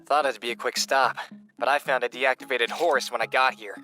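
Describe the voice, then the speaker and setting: Bright-voiced earnest hero played by a man. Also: medium pitch, fairly even intonation, fairly quick, faint music behind, natural voice. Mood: concerned. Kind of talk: animated sci-fi audio drama dialogue.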